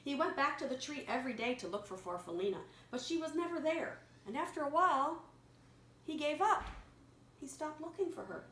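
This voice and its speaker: A woman reading a picture story aloud to a young child, pausing to explain words simply and prompt repetition. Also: high-pitched, expressive, fairly bright tone. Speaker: children's story reader and teacher. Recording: echoey room with clear background noise.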